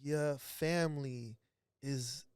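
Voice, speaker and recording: A male podcast host keeps the talk relaxed, joking with his guest and nudging her along with short replies. His voice is mid-pitched, smooth and clear, with a dry close sound. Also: drawn-out words, no room sound. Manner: easygoing and teasing, short encouraging replies. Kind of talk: casual interview chat.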